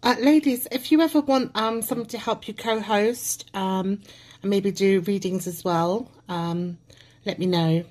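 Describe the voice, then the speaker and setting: A woman's voice: mid-range voice, a little slow, a little mellow, slight background noise. A woman listener speaking up in a live audio chat, hesitant and polite.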